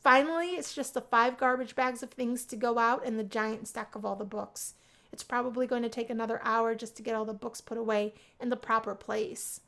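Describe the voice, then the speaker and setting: Female narrator for a visual novel read-aloud, higher register, expressive first-person storytelling. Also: a little fast, gravelly.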